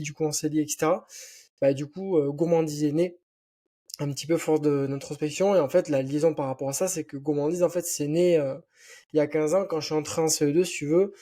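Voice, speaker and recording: Young guy w/ southwest french accent, rambly and full of fillers, kinda high but mellow dark tone. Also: fairly even intonation, fairly quick. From southwest France. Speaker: podcast guest, founder. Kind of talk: casual podcast chat.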